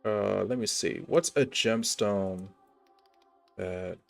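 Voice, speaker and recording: Chill young dude rambling over a game stream, lowish voice, quiet music behind. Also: male voice, takes it slowly, creaky. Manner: rambling, lots of "like" and restarts, laid-back. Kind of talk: casual gameplay commentary.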